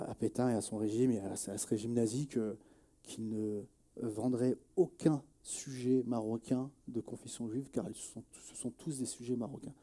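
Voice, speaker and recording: A man of about forty speaking in an earnest interview testimony, halting as he searches for words and repeats phrases. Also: medium pitch, mellow. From Nièvre, France. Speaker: journalist and activist interviewee.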